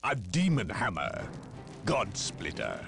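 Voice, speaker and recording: A man playing a zealous inquisitor with calm authority in a game cutscene, formal and commanding. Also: on the low side, takes it slowly, vocal fry, exaggerated voice. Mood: solemn. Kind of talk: video game cutscene acting. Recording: music under the voice.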